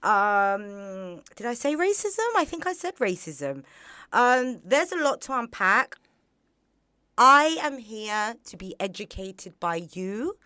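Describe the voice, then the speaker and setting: A woman's voice: medium pitch, pushed and bright, clear, resonant tone, dry recording. A woman hosting a podcast interview in a studio, chatty and bubbly, laughing often. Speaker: podcast host. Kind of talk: casual interview. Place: borrowed studio. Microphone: studio microphone.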